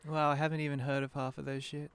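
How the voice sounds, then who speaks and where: Male guest, slightly higher pitch, relaxed conversational delivery for an informal podcast chat. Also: fast, smooth. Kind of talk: casual chat.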